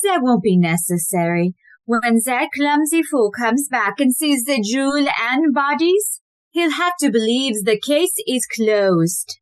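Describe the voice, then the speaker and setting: A woman's voice: sits high, lively intonation, unhurried, dry recording. A woman playing a cold, menacing, commanding society wife turned murderer in an audio play.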